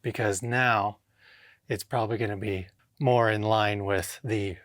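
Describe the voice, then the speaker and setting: A man's voice: lowish voice, fairly even intonation, a little slow, a bit bright, dry recording, studio quality. A man narrating a hands-on vlog casually, thinking aloud and talking to himself with short exclamations. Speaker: home theater DIY vlogger. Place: indoor home theater room with drop ceiling.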